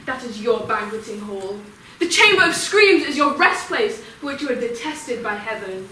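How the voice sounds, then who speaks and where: An imperious god from Greek tragedy, played by a woman, proud and authoritative. The voice is bright and a little high, declaiming emphatically from a distance in a reverberant room. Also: expressive, moderate pace. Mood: furious, contemptuous. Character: proud, authoritative god, protective of follower, contemptuous of Furies. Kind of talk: Greek tragedy stage acting. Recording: echoey room, distant mic, faint noise.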